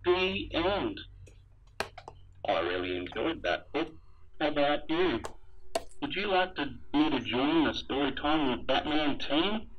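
Man playing a muffled, animated sci-fi trooper storyteller. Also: fairly high pitch, takes it slowly, long pauses, slight background noise. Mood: animated storytelling. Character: helmeted male sci-fi trooper reading a storybook to children. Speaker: storybook reader. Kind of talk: read-aloud children's story, rhyming. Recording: dull, muffled radio-like sound.

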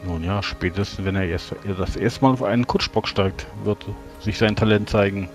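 A man's voice: fairly low pitch, normal speed, soft background music. A man improvising in-character dialogue as a player in a tabletop role-playing game.

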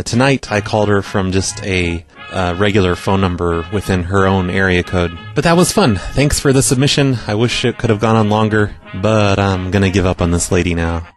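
Man, lower pitch, casual prank-call host, informal, hesitant, faint music underneath. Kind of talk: casual prank calling and chat.

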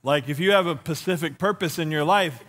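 A man's voice: a little high, a little fast, a bit bright. A middle-aged man with a lisp, preaching on a church stage and telling self-deprecating stories with acted-out dialogue. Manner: walks and gestures while preaching. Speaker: pastor. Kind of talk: humorous sermon.

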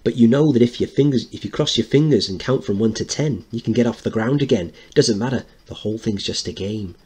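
A man's voice: medium pitch, fast talker, mellow, breathy edge, close to an everyday voice. A man voicing a chorus of playful children chanting a rhyme in a dramatic play reading.

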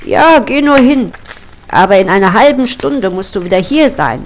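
A woman voicing a strict castle cook in a storybook fairy tale, brisk and bossy. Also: medium pitch, expressive, a little hiss or hum, natural voice.

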